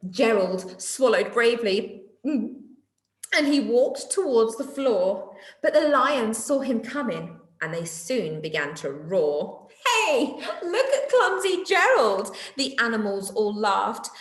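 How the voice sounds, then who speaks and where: A woman's voice: medium pitch, expressive. A woman reading a children's storybook expressively, as a teacher speaking directly to young pupils with dramatic delivery. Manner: addresses young listeners directly with questions, drawn-out dramatic delivery. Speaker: primary school teacher reading stories to pupils. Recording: echoey room.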